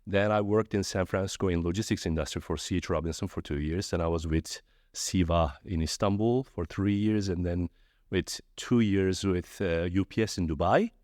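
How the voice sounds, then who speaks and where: A middle-aged man from Turkey, a guest in a podcast interview, telling stories in a friendly, animated way with frequent restarts. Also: low voice, moderate pace, no room sound, studio quality. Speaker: guest, trade fair executive. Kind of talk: conversational interview.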